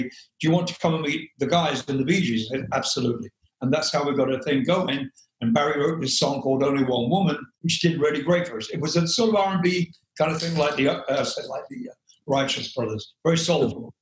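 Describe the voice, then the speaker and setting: An older English man with a loud speaking voice, telling rambling stories as an interview guest, with many fillers and frequent laughs. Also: on the high side, reverberant, rough recording. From England. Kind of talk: relaxed storytelling interview.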